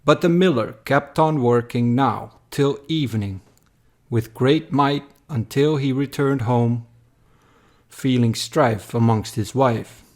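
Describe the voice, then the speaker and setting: Male narrator for dramatic verse: unhurried, solemn, medium-pitched storytelling. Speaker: narrator, poem reader. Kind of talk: scripted dramatic poetry narration.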